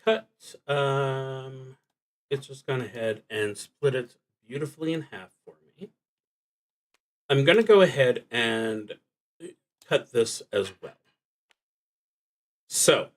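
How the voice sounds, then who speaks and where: Slow-talking man with a bright, forceful voice. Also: mid-range voice, poor audio. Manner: halting, thinking aloud, trails off. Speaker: solo tabletop roleplaying streamer. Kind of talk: casual livestream explanation of game rules.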